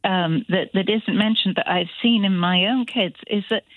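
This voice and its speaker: A woman's voice: mid-range voice, moderate pace, no echo. A middle-aged woman, a mother of grown children, calling in to chat on a radio phone-in, talking thoughtfully with many restarts. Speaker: caller. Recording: tinny phone line.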